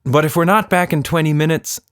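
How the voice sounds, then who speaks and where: A man's voice: a little high, steady tone, brisk, a bit bright, clean tone, no room sound, studio quality, voice pushed up, close to an everyday voice. An earnest young girl played by a man, with the voice lifted well above his usual register. She is polite and direct, an adventurous child from a storybook.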